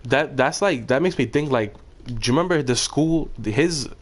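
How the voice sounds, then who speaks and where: A man's voice: mid-range voice, expressive, moderate pace. Crude young man trash-talking on stream. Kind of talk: casual voice-chat banter while gaming.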